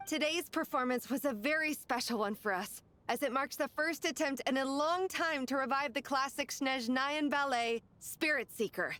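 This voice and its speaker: Female theater usher in a game: very high voice, formal, courteous announcer delivery. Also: normal speed, hardly any pauses, fairly bright tone. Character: polite female theater staff member addressing an audience.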